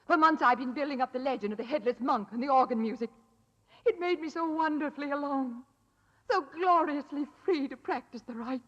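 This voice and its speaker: A high-pitched young madwoman in an old radio thriller. She gloats theatrically and hysterically over her dark deeds, her voice ringing through a long, reverberant hall. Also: husky, muffled recording. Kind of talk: 1940s radio drama acting.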